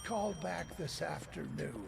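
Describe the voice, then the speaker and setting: A man playing a kindly, forgetful elderly clock repairer in a video game, with halting, courteous, old-fashioned speech. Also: on the high side, animated, heavy background noise, heavily acted. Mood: genial. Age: older. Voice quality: creaky. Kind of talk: video game dramatic acting.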